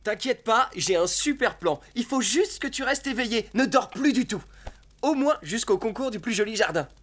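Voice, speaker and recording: A woman's voice: a little high, animated, moderate pace, fairly bright tone. A woman voicing a scheming, reassuring animal ringleader in a French children's cartoon. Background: cartoon music.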